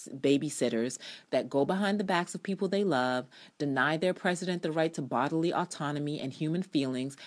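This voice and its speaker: A woman with a low-pitched, very expressive voice reads her own review aloud as a dramatic piece. She is opinionated and sardonic, slipping from scripted lines into casual, salty asides with theatrical emphasis.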